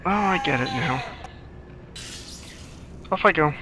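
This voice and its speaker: A man's voice: a little high, expressive, slow, background noise. A man giving casual playthrough commentary over a video game, repeating words as he reacts to the play. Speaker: gamer and screenwriter commentator. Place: recorded over gameplay with music.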